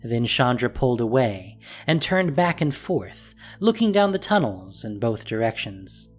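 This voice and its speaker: A man's voice: medium pitch, sing-song, a little slow. A man reading scripted fantasy story narration as the narrator of an audio drama. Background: music.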